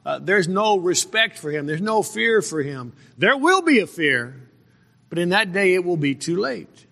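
A man delivers a Bible sermon in a fairly high, bright and forceful voice. He moves haltingly, repeating phrases for emphasis and putting rhetorical questions to his listeners. His words ring out with the long reverb of a big room. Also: very animated, gravelly. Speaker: preacher. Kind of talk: sermon, verse-by-verse Bible exposition. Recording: reverberant hall with long echo.

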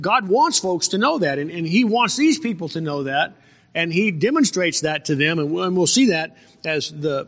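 A man delivers a Bible sermon in a fairly high, bright and forceful voice. He moves haltingly, repeating phrases for emphasis and putting rhetorical questions to his listeners. His words ring out with the long reverb of a big room. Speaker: preacher. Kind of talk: sermon, verse-by-verse Bible exposition. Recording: reverberant hall with long echo.

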